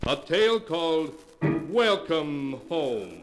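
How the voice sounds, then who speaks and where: A man narrating a 1940s radio horror show as an ominous storyteller, with theatrical, drawn-out delivery. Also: fairly high pitch, sing-song, soft background music. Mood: slow, eerie. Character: ominous male storyteller of dark, terrible tales. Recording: noisy 1940s radio recording.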